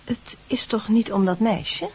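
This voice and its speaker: A woman's voice: medium pitch, a little slow, darkish tone, slightly rough. An actress playing a middle-aged mother who questions her son with suspicion, in a Dutch radio play. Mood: probing, suspicious.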